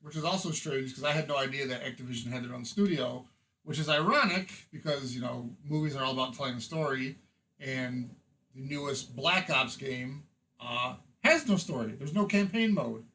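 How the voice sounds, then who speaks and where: A man's voice: medium pitch, moderate pace, sharp tone, slight background noise, poor audio. A man chatting casually as a panelist on a podcast about entertainment. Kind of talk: casual film and game discussion.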